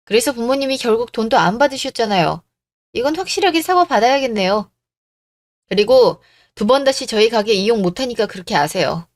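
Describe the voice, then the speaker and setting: A woman's voice: a little high, lively intonation, brisk, no echo, natural voice. Woman acting bold, defiant young woman. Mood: scolding, resolute. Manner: assertive, cutting, sarcastic. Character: bold, defiant young bride-to-be, plucky daughter. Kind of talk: radio drama story acting.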